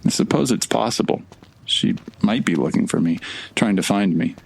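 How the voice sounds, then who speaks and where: Weary, sardonic male time traveler for audio drama: low, creaky voice, dry muttered naturalistic delivery. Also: moderate pace. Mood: weary, wistful.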